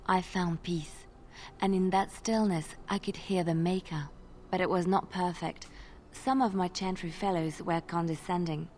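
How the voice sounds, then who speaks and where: A woman playing a gentle, devout young bard in a fantasy video game, speaking in an earnest, reflective way. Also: on the low side, lightly acted. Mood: wistful calm. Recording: game audio with ambient noise.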